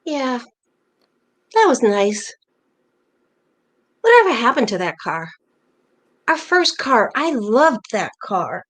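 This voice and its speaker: Woman as older wife in a stage play: highish voice, playful, bossy, nostalgic, conversational. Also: very animated, slow pace, pauses often, a bit bright, heavily acted. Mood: fond. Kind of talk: staged reading of a short play.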